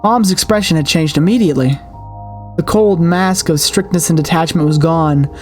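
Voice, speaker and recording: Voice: medium pitch, normal speed, darkish tone, faint music behind. A streamer reading a horror story aloud with dramatic expression and the occasional stumble.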